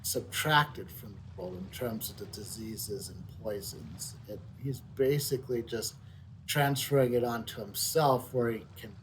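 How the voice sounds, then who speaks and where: A middle-aged man giving casual, unscripted video game commentary, halting and reflective, repeating words as he thinks. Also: mid-range voice, slight background noise, rough recording. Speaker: game streamer. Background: soft video game music.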